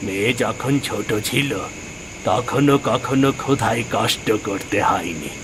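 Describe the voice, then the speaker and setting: A humble old cartoon father, talks slowly in a low voice. He is gentle and kindly, with insects chirping in the noisy background. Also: expressive. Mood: kindly, proud. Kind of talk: Bengali cartoon moral-tale acting.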